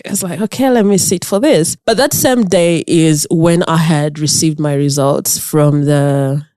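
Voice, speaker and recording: A woman from Uganda hosting a podcast, telling a story to a friend in casual chat, animated and laughing often. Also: sits low, unhurried, no echo, clean studio sound. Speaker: podcast host.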